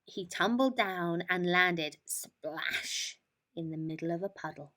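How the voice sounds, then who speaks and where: A woman narrating a children's bedtime story in an expressive, deliberate manner. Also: lowish voice.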